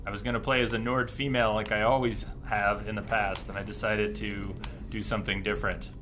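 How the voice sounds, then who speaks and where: A man's voice: lowish voice, level tone, fairly quick, noisy background. A man livestreaming a video game, chatting casually and thinking aloud as he comments on his play. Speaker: livestream gamer. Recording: game music behind the voice. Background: video game music.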